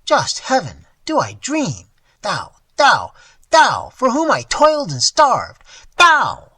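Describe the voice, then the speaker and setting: Man doing a frail old miser, shrill, wailing, husky, swooping pitch. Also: huge pitch swings, slow, sharp tone, no echo, heavily acted. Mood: anguished. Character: frail old male miser, anguished and pleading.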